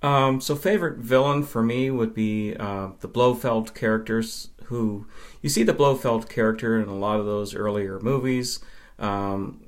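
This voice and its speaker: A man with a fairly low voice talks as a guest on a podcast. He explains things conversationally, in measured phrases broken by pauses. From Nova Scotia, Canada. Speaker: podcast guest, film fan. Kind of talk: conversational interview answers.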